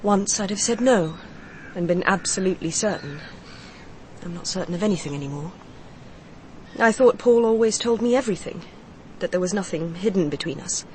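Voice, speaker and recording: An actress playing a grieving mistress confiding in a detective in a radio crime drama, speaking in a measured, confessional way. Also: female voice, on the low side, darkish tone, a little hiss or hum, close to an everyday voice. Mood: wistful, confiding.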